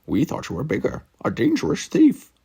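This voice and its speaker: An earnest young dog detective, as a man puts her on, close to his own gravelly, husky voice, only lifted a little and lively in its rise and fall. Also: a little high, normal speed, voice pushed up, full character voice. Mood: relieved.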